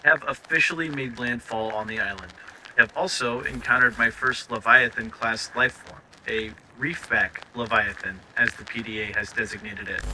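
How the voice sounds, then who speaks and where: A man playing a stranded crash survivor recording an audio log, anxious and awestruck, with a halting, stammering delivery. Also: medium pitch, bright, forceful tone, a little hiss or hum, low-quality recording. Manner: halting, stammering over words. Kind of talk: scripted audio log narration.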